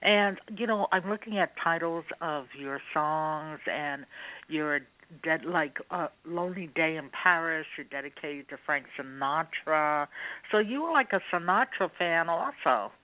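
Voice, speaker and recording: A woman's voice: low-pitched, animated, a little slow, no echo. A woman hosting an internet radio interview show, enthusiastic and full of fillers. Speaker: radio host. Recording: dry tinny phone line.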